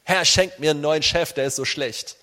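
Male preacher, higher-pitched voice, animated and conversational, informal sermon delivery, long hall reverb. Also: normal speed. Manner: animated, conversational, addresses listeners directly.

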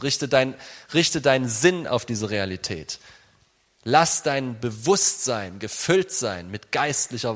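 Man preaching in a reverberant hall. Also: mid-range voice. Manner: animated, conversational, addresses listeners directly. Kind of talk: informal sermon to a congregation.

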